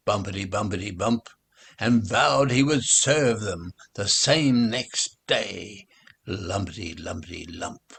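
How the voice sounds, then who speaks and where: A man reading nursery rhymes aloud in an expressive, deliberate, sing-song way, as a recital for children. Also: lowish voice, creaky, slightly rough.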